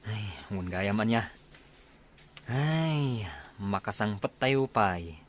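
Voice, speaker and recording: A man's voice: fairly low pitch, lively intonation, slow pace, a little hiss or hum. A man playing a friendly soldier eager to get home in a radio drama, talking casually with his comrade. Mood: comradely.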